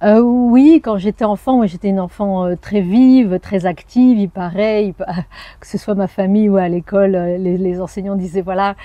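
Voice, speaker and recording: A French woman in her forties, a journalist and anthropologist, telling her life story in an interview with thoughtful pauses and hesitations. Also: medium pitch, fairly even intonation, dark tone, dry recording, highs cut off. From France. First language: Arabic. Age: 40s. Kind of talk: personal interview.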